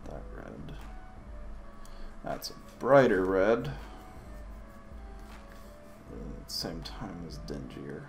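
A man hosting a casual, unscripted software tutorial, thinking aloud in short, halting phrases. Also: deep voice, sing-song, music in the background.

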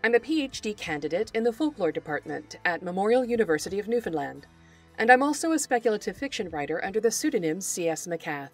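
A woman hosting a podcast, reading scripted narration in a formal, articulate way. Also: mid-range voice. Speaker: podcast host, folklorist.

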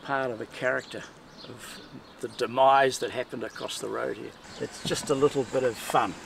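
A man's voice: mid-range voice, fairly even intonation, moderate pace, gravelly, slight background noise. A middle-aged man with a New Zealand accent, talking casually and colloquially as a local resident being interviewed.

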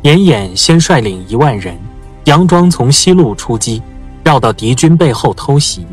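A man playing a calm, gentle-spoken military strategist in a period audio drama, with measured delivery. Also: medium pitch, breathy edge, soft background music. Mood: calm, assured.

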